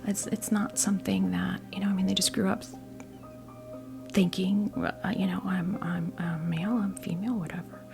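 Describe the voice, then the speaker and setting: An American woman in her fifties giving a tarot reading as ASMR role play, whispering softly in a halting, gently reassuring way. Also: medium pitch, level tone, over music. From New Jersey, US. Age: 50s. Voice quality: whispered, breathy soft voice. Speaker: ASMR performer playing a tarot reader.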